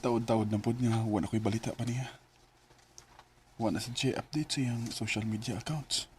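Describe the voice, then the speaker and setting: An actor playing a young student who stammers through apologies and pleas in a radio drama. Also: male voice, mid-range voice, fairly even intonation, moderate pace, background noise, natural voice. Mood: wistful. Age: young adult. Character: young male student, torn between love and duty.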